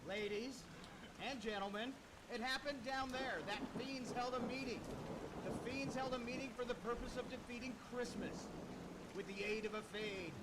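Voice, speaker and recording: High-voiced man hosting in a noisy studio. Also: steady tone, normal speed. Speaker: radio host and narrator. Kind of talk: live event hosting and rhymed radio play narration. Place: radio studio performance room. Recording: noisy live studio broadcast. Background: background noise.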